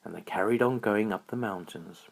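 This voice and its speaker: A man narrating a children's bedtime story in a dramatic, drawn-out storytelling manner. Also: fairly low pitch, creaky, low-quality recording.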